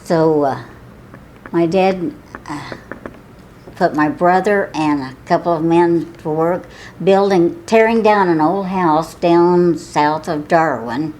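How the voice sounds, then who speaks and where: A woman's voice: on the low side, slow, a little mellow, slight background noise, rough recording. Older woman with a Southern Illinois accent. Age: 80s. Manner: chatty, laughs often, with false starts. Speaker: oral history interviewee. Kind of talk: casual reminiscing.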